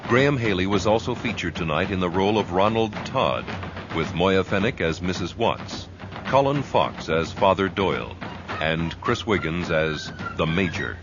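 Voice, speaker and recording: A man as a radio announcer reading scripted closing credits. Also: low-pitched. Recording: dull radio recording, music behind.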